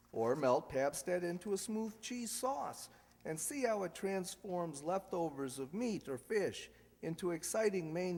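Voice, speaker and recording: A man's voice: on the high side, a little mellow, big-room sound. A man reading scripted announcements and narration in the style of a 1940s radio announcer.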